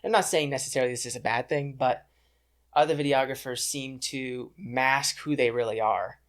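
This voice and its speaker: A young adult man speaks as a guest on a casual interview podcast. He is talkative and earnest, with a medium-pitched voice. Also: moderate pace, fairly bright tone.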